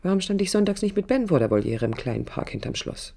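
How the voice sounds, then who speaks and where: A woman's voice: on the low side, sing-song, quick pace, gravelly, dark tone, heavily acted. An actress playing a proud, brooding woman architect in a radio play, speaking in an introspective, literary and bitter way. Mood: brooding, wistful. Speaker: lead character and first-person narrator. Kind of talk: radio play acting, interior monologue and dialogue. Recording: studio radio drama with music behind.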